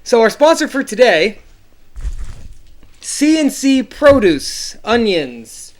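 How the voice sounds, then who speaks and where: A man with a notably high speaking voice co-hosting a casual chat podcast. He rambles and riffs playfully, trailing off mid-thought. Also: big pitch swings, slow. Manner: rambling, playful, reads sponsor spot jokingly. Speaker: podcast co-host.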